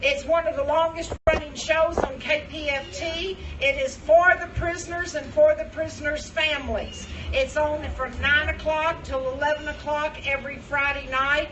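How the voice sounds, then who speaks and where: A woman informally announcing at a live event, speaking plainly with a halting delivery. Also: high-pitched, steady tone. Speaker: event presenter, radio prison show contributor. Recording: distant mic, clearly noisy room.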